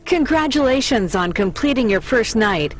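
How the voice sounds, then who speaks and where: A woman plays a courteous female dispatcher in a game. Her voice sits fairly high, formal and businesslike, with faint music behind it. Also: expressive, normal speed, close to an everyday voice, rough recording. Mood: polite, businesslike. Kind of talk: scripted video game acting.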